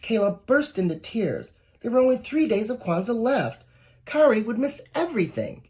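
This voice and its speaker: A woman reading a picture book aloud to young children, expressive and encouraging, with asides to the listeners. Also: a little high, moderate pace, husky. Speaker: volunteer story reader.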